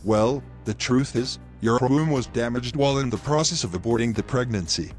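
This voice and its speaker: A man's voice: mid-range voice, normal speed, faint music behind, lightly acted. Man acting a grave, apologetic doctor. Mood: grave, hesitant. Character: grave male doctor breaking bad news. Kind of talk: scripted moral-story drama.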